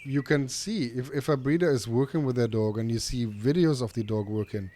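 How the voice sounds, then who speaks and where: A man with a middle-pitched voice hosts a casual chat. He speaks earnestly and with firm opinions. He often starts over in the middle of a phrase. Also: brisk, warm tone. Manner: earnest, opinionated, many restarts. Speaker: podcast host, dog trainer.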